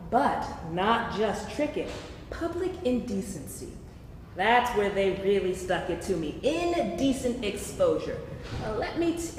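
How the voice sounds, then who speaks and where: A woman's voice: medium pitch, a little mellow, lightly acted. A woman playing a cheeky, worldly-wise man with a theatrical, teasing, preachy way in a staged play reading. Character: cheeky, worldly-wise queer man, a streetwise regular of basement bars. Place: live stage reading in a reverberant hall. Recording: echoey hall, noisy live stage pickup.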